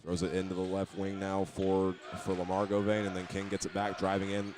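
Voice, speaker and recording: Fast-talking American male basketball announcer. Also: sits low, completely flat delivery, a crowd in the background. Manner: running present-tense description of each move. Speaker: play-by-play sports announcer. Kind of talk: live basketball radio play-by-play commentary.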